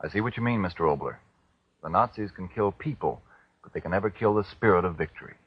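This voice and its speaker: Old time american radio announcer guy, swoopy husky voice, thin tinny dry 40s recording. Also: low voice, expressive, fast talker, slight background noise. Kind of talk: 1940s scripted radio announcing and sponsor plug.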